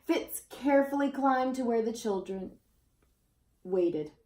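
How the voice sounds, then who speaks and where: A woman reads a children's story aloud. Her pitch moves in an animated, expressive way as she addresses young listeners. The sound is echoey, as from a room. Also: takes it slowly. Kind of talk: expressive children's picture-book read-aloud. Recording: roomy, echoey indoor sound.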